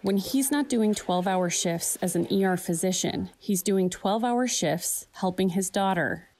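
A woman reporter reading a scripted news voice-over in a steady, clear manner. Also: mid-range voice, normal speed.

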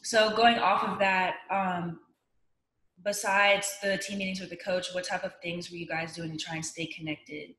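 A woman's voice: lowish voice, flat delivery, moderate pace, a bit bright. Young woman interviewing, echoey room. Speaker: interviewer, host. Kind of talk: scripted intro and interview questions. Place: indoor room on a video call. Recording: roomy, echoing video call.

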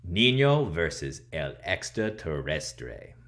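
Man in his thirties, slow pace, low voice, theatrical picture book read-aloud, expressive. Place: small room at home, indoors.

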